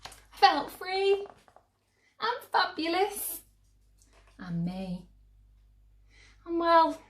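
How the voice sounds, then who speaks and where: A woman reading a picture book aloud to children with theatrical, drawn-out emphasis. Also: high voice, roomy sound. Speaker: children's story reader.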